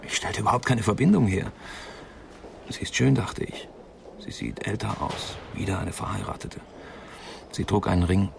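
An actor playing a troubled young man giving a halting, confessional account in a German radio crime drama, with a creaky voice. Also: lowish voice. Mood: subdued, confessional. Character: distressed young man, witness worried about his sick friend. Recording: faint background noise and ambience.

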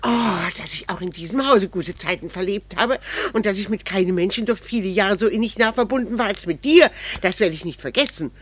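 An actress playing a proud, unrepentant middle-aged wife with grave fervour in a 1960s radio drama. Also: female voice, medium pitch, brisk, few pauses, slightly rough, no echo, lightly acted. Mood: fervent longing. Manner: grave, fervent, solemnly emphatic. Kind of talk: 1960s German-language radio drama acting.

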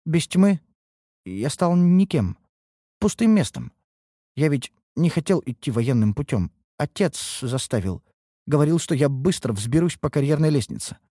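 A man voicing a meek, broken young warrior with a halting, downcast manner in a fantasy audiobook. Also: a little high, big pitch swings, mellow, no room sound, clean studio sound. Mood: ashamed, despairing.

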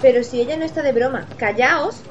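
A woman's voice: high voice, a little fast, natural voice. A woman with an accent from Spain playing a bossy but reassuring senior school leader in an amateur radio play. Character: bossy, reassuring female former house head at school. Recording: dull, faintly noisy home recording.

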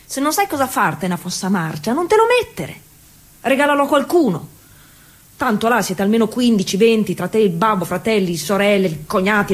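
An actress playing a plucky, stubborn young Italian bicycle racer in a colloquial, exasperated theatrical monologue. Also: female voice, mid-range voice, husky. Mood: exasperated, reassuring. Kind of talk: theatrical monologue, one side of a conversation.

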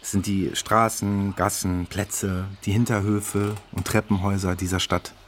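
A man's voice: low voice, flat delivery, unhurried, slight background noise. A man playing a courteous hotel page who formally narrates a radio play as a guide for guests. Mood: polite welcome.

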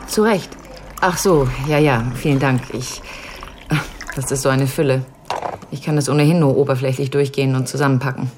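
A patient's great-niece in a radio play, with a very low female voice. She is inquisitive and thoughtful, feeling her way through questions, with music in the recording. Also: steady tone, moderate pace, noisy background. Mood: puzzled, curious. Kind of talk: radio drama dialogue.

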